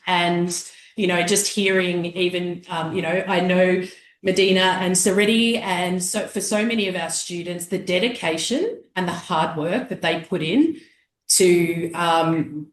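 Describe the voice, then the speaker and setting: A middle-aged woman teacher speaking enthusiastically and effusively about her students. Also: on the low side. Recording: echoey room.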